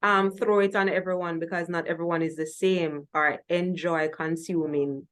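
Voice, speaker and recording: A woman's voice: lowish voice, fairly even intonation, normal speed. A woman with a Jamaican accent hosting a music talk show in a conversational style.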